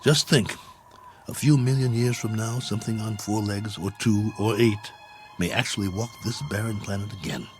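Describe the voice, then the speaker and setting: A man's voice: lowish voice, fairly even intonation, normal speed, natural voice. An actor playing a lonely, stranded astronaut colonel in an old television drama, brooding and wry as he muses to himself. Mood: weary musing. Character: stranded astronaut colonel, weary, lonely, anxious but dogged. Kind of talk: 1960s television drama acting, monologue log entries. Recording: old television soundtrack with music underneath.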